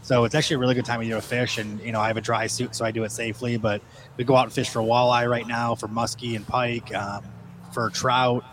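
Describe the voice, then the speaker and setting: A man's voice: mid-range voice, level tone, quick pace, fairly bright tone, slight background noise. A man in his thirties from Michigan, chatting casually as a podcast guest in a rambling, talkative way. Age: 30s. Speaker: guest angler.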